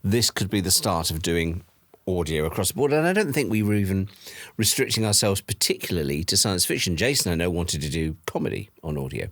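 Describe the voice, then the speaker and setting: A man's voice: low-pitched, animated, fairly quick, a bit bright, no room sound, studio quality. A middle-aged man recalling past events casually in an interview, telling anecdotes and acting out bits of conversation. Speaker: audio drama producer. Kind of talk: casual documentary interview recollection.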